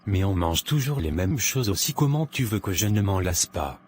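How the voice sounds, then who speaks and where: A man playing an irritable, self-assured and covetous married man in an animated drama, with a dismissive, grumbling manner. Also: deep voice, moderate pace, slight background noise. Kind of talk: scripted animated drama dialogue.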